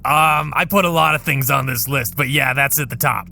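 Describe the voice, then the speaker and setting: A man improvising an eager, earnest job applicant in an audio drama, giving a halting, rambling sales pitch. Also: vocal fry, sharp tone, a little hiss or hum.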